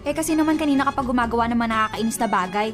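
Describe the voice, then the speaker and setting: A woman acts out a bossy young girlfriend in a radio drama. Her voice is high and quick, with an impatient, scolding snap. Music runs behind her lines. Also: lightly acted. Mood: irritated. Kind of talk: melodramatic radio drama dialogue.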